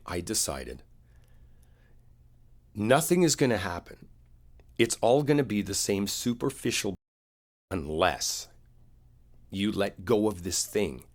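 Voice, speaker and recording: A man playing an earnest, wounded boyfriend in an intimate roleplay, speaking in a halting, confiding way. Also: deep voice, heavily acted.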